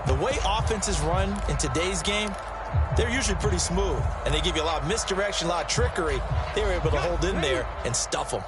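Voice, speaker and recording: An American color commentator breaks down football in a sports video game. The man's voice is high and emphatic, explaining things keenly over loud music. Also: expressive, fast talker. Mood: keen, analytical. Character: male football analyst, keen and explanatory.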